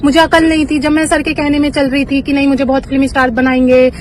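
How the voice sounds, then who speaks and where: Woman, high voice, rapid insistent delivery, confrontational phone-call argument, light street noise behind. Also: flat delivery. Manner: insistent, confrontational, often repeats herself. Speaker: film and music artist.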